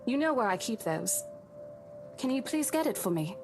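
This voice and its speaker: Polite, brisk businesswoman over game music, acted by a woman. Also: a little high, sing-song. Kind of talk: visual novel voice acting.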